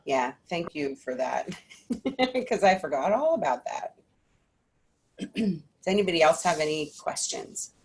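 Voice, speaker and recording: A woman hosting a group call, affirming others and introducing the next speaker in an unhurried way. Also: lowish voice, very animated, darkish tone, a little hiss or hum. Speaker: call host.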